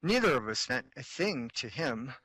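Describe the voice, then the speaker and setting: A wistful young man voiced by an actor looks back on a lost love in a radio play. His voice is bright and clear, and the reading is gentle. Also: medium pitch, big pitch swings, moderate pace, no echo, rough recording.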